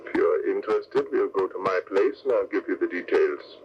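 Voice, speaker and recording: A cold, scheming spy ringleader played by a male actor, low in pitch, who talks in a measured, formal, persuasive way. The style is vintage radio thriller, with dull sound and faint noise. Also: natural voice. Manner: smooth-talking, measured, formal. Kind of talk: 1940s radio crime serial acting. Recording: dull, noisy old radio broadcast recording.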